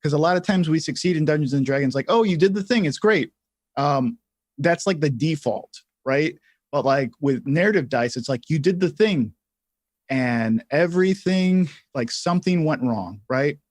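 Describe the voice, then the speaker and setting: A man's voice: fairly high pitch, lively intonation, normal speed, darkish tone, no echo. A man co-hosting a livestream tutorial in casual chat, informal and self-deprecating, often saying "like" as he goes. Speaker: livestream co-host.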